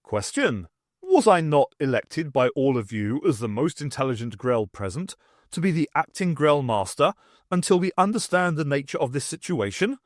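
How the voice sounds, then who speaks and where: A man's voice: a little high, sing-song, normal speed, no echo, studio quality. Man acting a pompous, formal alien leader. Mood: stern. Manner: formal, clipped, pedantic, commanding. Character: pompous male alien leader with face tentacles.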